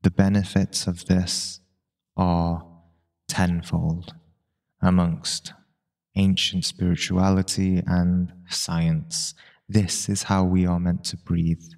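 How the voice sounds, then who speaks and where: Very slow, deep-voiced male meditation guide. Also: gravelly. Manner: calm, unhurried, long pauses between short phrases.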